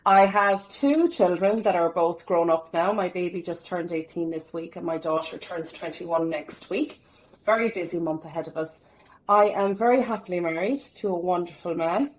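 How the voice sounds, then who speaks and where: A woman's voice: fairly low pitch, normal speed, rough recording. A woman, telling her life story as a podcast guest in a chatty, upbeat way with self-deprecating humour. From Ireland. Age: 40s. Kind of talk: casual interview storytelling.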